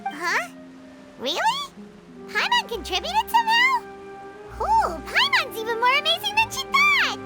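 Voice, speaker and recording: A woman's voice: extremely high pitch, huge pitch swings, a little slow, over music, heavily acted. A woman voicing a tiny, childlike fairy companion in a video game, cheerful, boastful and exclaiming. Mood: amazed, boastful. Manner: refers to self in third person, exclaiming.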